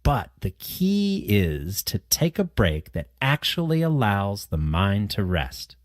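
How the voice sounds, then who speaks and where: A man with a lowish voice reads scripted passages at a very slow pace. His delivery is careful and measured, with plenty of rise and fall in the pitch. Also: sing-song, dry recording, studio quality. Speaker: narrator of test practice passages. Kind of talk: scripted read-aloud narration.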